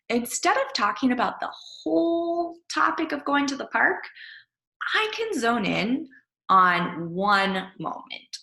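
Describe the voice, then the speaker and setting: A woman working as an elementary teacher, explaining a lesson to children in an expressive, simple way with examples. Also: mid-range voice, creaky, echoey room.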